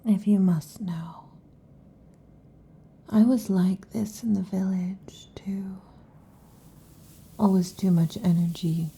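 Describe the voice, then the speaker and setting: A woman voicing a restless, affectionate female lamia in an ASMR roleplay, whispering softly. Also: mid-range voice, fairly even intonation, slow, creaky, long pauses, slightly rough, heavily acted. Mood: whispery, intimate. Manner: soft intimate whispering. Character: restless affectionate female lamia, snake-tailed monster girl.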